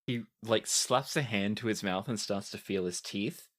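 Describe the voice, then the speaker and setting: A man narrating a tabletop game as game master, casual and halting as he improvises descriptions. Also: lowish voice, a bit bright.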